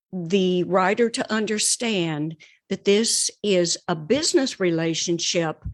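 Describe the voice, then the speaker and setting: A woman speaking as an author and mentor in an interview, earnest, deliberate and emphatic. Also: on the low side, dry recording, clean studio sound. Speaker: podcast guest, author and writing mentor. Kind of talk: conversational interview.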